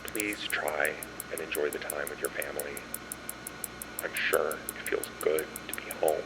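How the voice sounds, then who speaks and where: A man playing an evasive, cautious music label executive in a radio play, halting and appeasing in corporate talk. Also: a bass voice, level tone, rough recording. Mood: placating. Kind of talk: naturalistic radio play acting. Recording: music behind.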